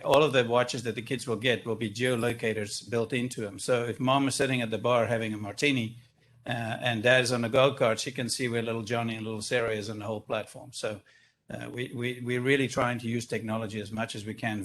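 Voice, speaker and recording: A man's voice: mid-range voice, steady tone, fast talker, a bit bright. A man with a South African accent, speaking enthusiastically and at length at a microphone during a council meeting. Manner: enthusiastic, expansive, self-deprecating. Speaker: business owner presenting a project. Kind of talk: public comment at a council meeting. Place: council chamber. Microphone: speaking at a stand-up microphone.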